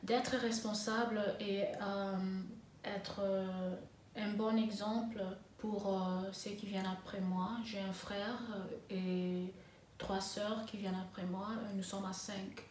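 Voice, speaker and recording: A woman in her twenties, from Congo and raised in South Africa, answering interview questions slowly with many pauses and restarts. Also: medium pitch, little pitch movement, a little mellow, far from the mic, a little hiss or hum. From Congo (DRC), raised in South Africa. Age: 20s. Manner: hesitant, many fillers and restarts. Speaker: interviewee, master's student. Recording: echoey room with faint ambience.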